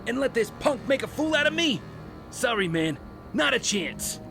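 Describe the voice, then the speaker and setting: An actor playing a cocky, aggressive young street thief in an audio drama, brash, slangy and bossy. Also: male voice, very high voice, sing-song, moderate pace, vocal fry, sharp tone, soft background music, full character voice. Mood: furious, defiant.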